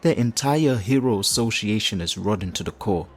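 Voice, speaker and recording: A man playing a flirtatious, theatrical supervillain leader in an audio roleplay, smug and righteous, with teasing, drawn-out phrasing. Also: lowish voice, lively intonation, slight background noise. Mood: indignant, impassioned. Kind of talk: audio roleplay addressed to the listener.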